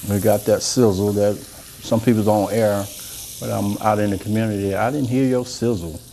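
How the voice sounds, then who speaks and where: Middle-aged man, chef host, soft-spoken and rambling, low mellow voice, faint hiss behind. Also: steady tone, normal speed, creaky, low-quality recording. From Georgia, US. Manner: soft-spoken, rambling and homey, talks while working. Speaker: chef and cooking show host. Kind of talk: unscripted cooking demonstration. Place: indoor demo kitchen set.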